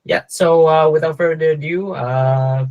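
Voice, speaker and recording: A man's voice: fairly high pitch, fairly even intonation, unhurried, highs cut off. A young man from Vietnam in his twenties, giving a casual, joking presentation to students with many fillers and restarts. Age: 20s. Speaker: guest speaker, startup co-founder.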